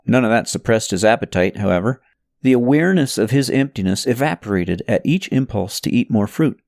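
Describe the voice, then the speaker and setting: A man's voice: medium pitch, a little mellow, no room sound. Man narrating an audiobook in a dry-sounding space. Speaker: audiobook narrator reading own novel. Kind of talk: scripted narration of a parable novel.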